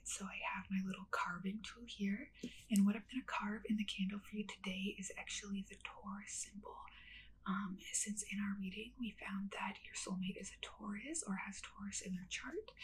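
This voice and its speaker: A woman softly whispering an ASMR roleplay in a gentle, reassuring, instructional way. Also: medium pitch, poor audio. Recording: clearly audible ambient noise.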